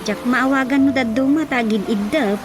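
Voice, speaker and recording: A woman's voice: on the high side, normal speed, close to an everyday voice. A woman playing an insistent grown daughter in an Ilocano radio drama, speaking with an Ilocano accent. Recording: music behind the voice.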